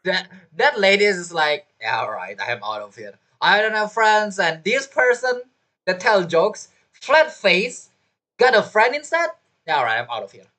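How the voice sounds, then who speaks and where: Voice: mid-range voice, very animated, normal speed, pushed and bright. A streamer giving casual gameplay commentary, joking and teasing as they talk back to characters.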